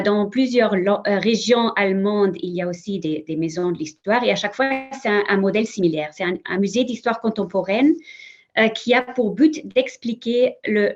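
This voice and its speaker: A woman speaks on a conference panel in French, which is not her first language, halting and restarting her sentences with frequent fillers. Her voice sits in a middle register. The sound is dull and lo-fi, like an online call. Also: medium pitch. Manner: hesitant, many fillers and restarts. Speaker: museum representative on a panel. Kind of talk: conference talk, spoken off the cuff.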